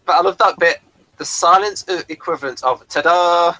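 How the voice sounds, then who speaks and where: A man's voice: high voice, moderate pace, bright, forceful tone, slight background noise, poor audio. A man co-hosting a casual episode review, rambling and repeating himself as he talks. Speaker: co-host of a TV review show.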